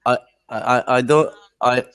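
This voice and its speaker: A man from Greece with a Greek accent, calling into a casual live chat in halting non-native English. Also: mid-range voice, fairly even intonation, a bit bright, clear, resonant tone, no room sound. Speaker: caller joining a live chat. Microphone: video call.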